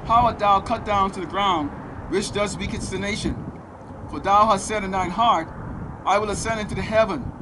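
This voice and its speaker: A man with a high voice preaches out on a city street, reading scripture in a slow, measured cadence and then pressing passersby with rhetorical questions. Heavy traffic roars around him throughout the recording. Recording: loud street traffic noise.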